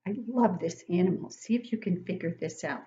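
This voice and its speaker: An older woman reads a picture book aloud to young children like a teacher, expressively, pausing to ask them questions. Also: fairly low pitch, dark tone, muffled recording. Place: living room at home, indoors.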